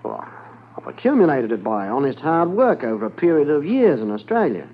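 An actor playing an evasive gold smuggler in a 1950s radio drama, polite, formal and full of self-justification. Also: male voice, mid-range voice, sing-song, fairly quick. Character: smooth-talking, evasive male gold smuggler under questioning. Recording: tinny 1950s radio transcription.

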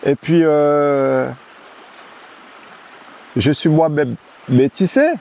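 Male guest, casual outdoor interview, laid-back, halting delivery with many fillers. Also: a little high, gravelly. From French Guiana. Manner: hesitant, many restarts and fillers, relaxed.

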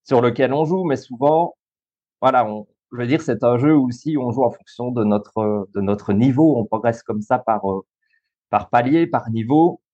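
A thirty-year-old man with a Belgian French accent talks through ideas in an easygoing, explanatory way, pausing often on fillers and restarting his sentences. His voice sits mid-range for a man. The recording sounds a little dull and lo-fi. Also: medium pitch, mellow. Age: 30s. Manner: explanatory, many fillers and restarts, easy laughs. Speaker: guest, school coach and social worker. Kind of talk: podcast interview.

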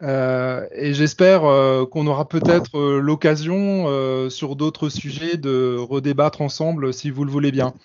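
A man's voice: mid-range voice, steady tone, unhurried, hardly any pauses, darkish tone. A man hosting a radio interview, polite and gracious as he sums up and thanks his guests. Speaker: host. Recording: dull lo-fi sound.

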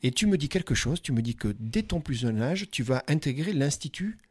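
A man's voice: medium pitch, expressive, a little fast, breathy edge, no echo. Chatty man interviewing with dry sound. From Dordogne, France. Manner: chatty, enthusiastic, many restarts. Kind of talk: casual event interview. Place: quiet corner at a busy job fair.

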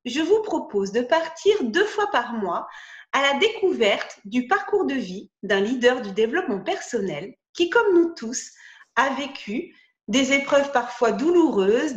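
A woman from France hosting a podcast, reading a gentle scripted intro and then chatting with her guest, with hesitant restarts. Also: on the high side. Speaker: podcast host and interviewer. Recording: echoey room.

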